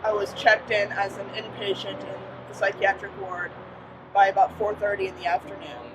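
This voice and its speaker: A man's voice: sits high, moderate pace. A young man giving a plain, matter-of-fact personal testimony. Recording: distant mic under music.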